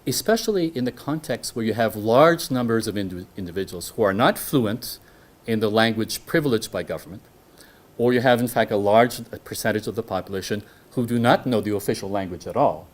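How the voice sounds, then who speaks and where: A man whose first language is French, giving an academic lecture on law to a hall audience in measured English, often restarting his phrases and putting questions to the listeners. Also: fairly low pitch, lively intonation. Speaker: guest lecturer.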